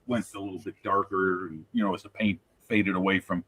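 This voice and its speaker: A man's voice: low-pitched, steady tone, fairly quick, bright, forceful tone, husky. A man hosting a casual live modelling stream, rambling and jokey, often restarting his sentences. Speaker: stream host and model builder.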